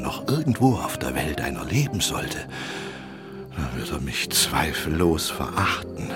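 An old, kindly half-dwarf tutor in a children's radio play. The voice is extremely low and slow, solemn and wistful, with clear music behind it. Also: male voice. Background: clear background music.

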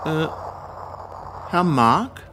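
A fairly high male voice plays a brisk psychiatric doctor in a radio drama. His delivery is very animated, placating and patronising, over faint background noise. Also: full character voice. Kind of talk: radio play acting.

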